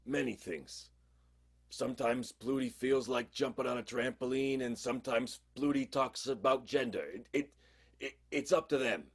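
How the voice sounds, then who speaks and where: An actor playing a saucy, aging vaudeville contortionist in an improvised comic radio play, gravelly and husky, with folksy, drawn-out phrasing. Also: male voice, medium pitch. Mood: cagey, folksy.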